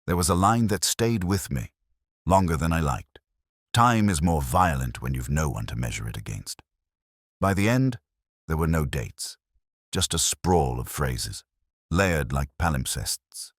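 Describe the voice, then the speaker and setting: A man with an extremely low, husky voice narrates a detective story in the first person. He sounds like a weary, introspective investigator, brooding and measured in short literary phrases. His pitch moves expressively, and the recording is dry and close. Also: lively intonation, dry recording.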